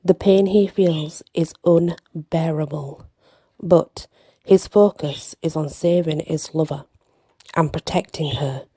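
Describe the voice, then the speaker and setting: A woman reading an ASMR bedtime fantasy story with deliberate, dramatic phrasing and short pauses, close to the mic. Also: sits low, dark tone, slightly rough, no room sound.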